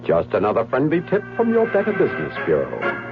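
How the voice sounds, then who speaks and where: A man's voice: mid-range voice, huge pitch swings, a little fast, music in the background. An actor in a radio public service spot playing a confident, helpful consumer-advice man. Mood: helpful, assured. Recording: dull old radio broadcast recording.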